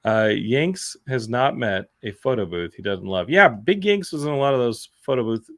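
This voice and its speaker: A man's voice: medium pitch, very animated, normal speed, dry recording, studio quality. A middle-aged man hosting a casual chat show, rambling and jokey as he reads viewer comments aloud. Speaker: podcast host.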